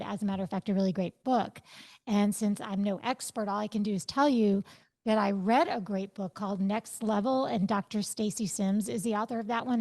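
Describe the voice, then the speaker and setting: A woman in her fifties, a podcast interview guest, talking in a reflective, animated way and stressing the thoughts she quotes. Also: medium pitch. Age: 50s.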